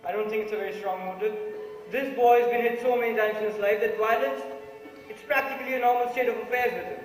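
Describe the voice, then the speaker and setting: Fast-talking man playing a calm juror, Indian accent. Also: sits high, faint music behind, natural voice. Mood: calm, questioning. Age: young adult. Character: calm, reasoning dissenting juror. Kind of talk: amateur stage play acting. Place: large auditorium stage. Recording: very echoey auditorium.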